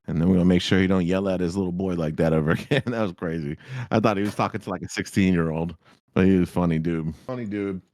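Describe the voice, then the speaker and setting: A hyped-up man in his thirties hosts a live stream, swearing, slangy and repeating himself. His voice sits low in pitch. The sound is dry and close, with no room echo. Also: darkish tone. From South Florida. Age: 30s. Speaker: host of a trading live show. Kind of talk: casual live-stream chat.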